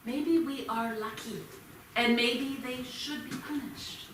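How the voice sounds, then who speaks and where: A woman's voice: sits high, takes it slowly. Woman acting a troubled mother, very echoey. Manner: hesitant, conciliatory. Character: conscience-troubled, hesitant mother of an accused boy. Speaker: stage actor in a staged reading. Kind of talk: live staged play reading, naturalistic. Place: indoor theatre stage, recorded from a distance. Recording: very echoey, distant theatre recording with noise.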